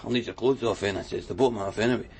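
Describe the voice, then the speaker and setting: An actor playing a chatty, wistful Glasgow tattooist in a radio crime drama, rambling colloquially with a Scottish accent. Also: male voice, lowish voice, rapid-fire, darkish tone, slight background noise, lightly acted. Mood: chatty reminiscing. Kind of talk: naturalistic radio drama acting.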